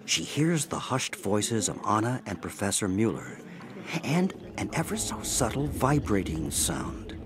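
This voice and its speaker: Expressive low-voiced male narrator. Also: crowd noise. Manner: deliberate, theatrical phrasing. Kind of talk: scripted dramatic storytelling narration.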